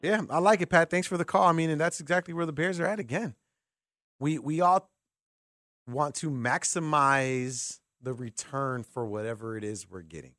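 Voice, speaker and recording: A man's voice: fairly high pitch, normal speed, a bit bright, dry recording, studio quality. A man hosting a sports call-in radio show, talking casually and giving opinions, repeating words for emphasis. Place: radio studio. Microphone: close studio mic.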